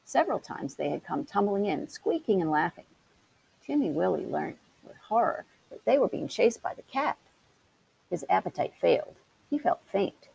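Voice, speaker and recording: Creaky woman reading a children's story. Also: fairly low pitch, big pitch swings, moderate pace, mellow, low-quality recording. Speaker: storybook reader. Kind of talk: children's story read-aloud. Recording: hissy background noise.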